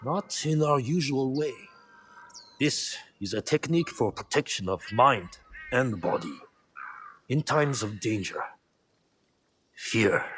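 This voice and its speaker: An actor playing a grave, gentle middle-aged father and martial mentor in a video game, measured and instructive. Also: medium pitch, lively intonation, slight background noise. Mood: solemn, resolute. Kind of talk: dramatic video game cutscene acting.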